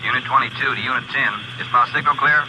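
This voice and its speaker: A formal, impersonal American police radio operator in a fifties radio drama. He talks in clipped procedure at a middling pitch, over a clearly noisy old recording. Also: steady tone, fast talker, bright, forceful tone. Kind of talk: 1950s radio drama acting.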